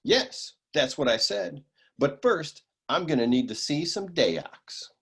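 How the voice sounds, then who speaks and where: A man acts as a cocky private detective in a noir radio comedy. His voice is husky and swings noticeably up and down, with a cool, lecherous, deadpan swagger. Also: on the high side, slow pace, heavily acted. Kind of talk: comic noir radio play acting.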